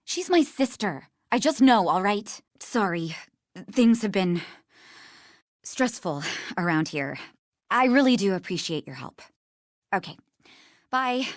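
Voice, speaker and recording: An actress voicing an earnest, guarded young museum worker in a video game, speaking emphatically and protectively. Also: female voice, medium pitch, big pitch swings, slow pace, pauses often, dry recording, clean studio sound. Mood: defensive, tense.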